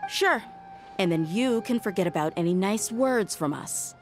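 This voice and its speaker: A woman's voice: medium pitch, expressive, moderate pace, faint music behind, lightly acted. An actress voicing a stern, impatient woman mercenary who talks bluntly and gives orders, in a game cutscene. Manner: blunt, commanding, threatening.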